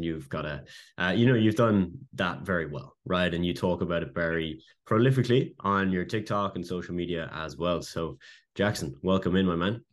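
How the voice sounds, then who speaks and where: A man hosting a casual interview, upbeat and informal, with loose phrasing and fillers. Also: sits low. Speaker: host and business coach.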